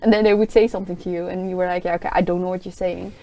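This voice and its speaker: A woman's voice: on the low side, fairly even intonation, rapid-fire, dark tone, poor audio. A young woman student teacher talking casually about her internship, filling her speech with "like". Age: young adult. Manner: chatty, leans on "like". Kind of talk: casual discussion.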